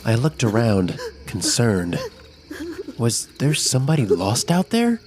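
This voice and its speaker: A man's voice: medium pitch, huge pitch swings, a little slow, a little mellow, slight background noise. A man playing an adventurous, kind child who narrates a scripted children's story expressively. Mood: wondering, narrating. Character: adventurous, imaginative, kind child telling a Halloween tale. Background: music.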